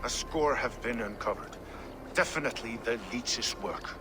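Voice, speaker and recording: A man's voice: medium pitch, normal speed, background noise, lightly acted. An actor playing a blunt, crude-tongued dockside watchman.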